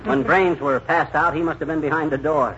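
Man as a high-voiced, blustery wisecracking husband. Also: fairly even intonation, a little fast, a bit bright, natural voice. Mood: scornful. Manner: brash, gag-punching delivery. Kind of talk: 1940s radio comedy acting. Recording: muffled, very noisy 1940s radio recording.

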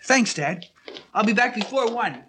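An actor playing a polite, pleading seventeen-year-old son in a radio drama, earnest and wheedling. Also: male voice, high voice, expressive, a little slow. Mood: hopeful.

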